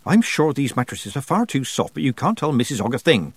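A man's voice: medium pitch, sing-song, brisk, husky, dry recording, pitched above the performer's own voice. A man voicing a fussy middle-aged housekeeper who talks in a prim, disapproving way. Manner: prim, sniffy.

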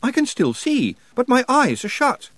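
Storybook part: brave, frightened boy, done by a male narrator, higher register, questioning and earnest. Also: huge pitch swings, normal speed, a bit bright, dry recording, heavily acted. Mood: uneasy. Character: scared but brave earnest young boy hero. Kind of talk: audiobook storybook character voice.

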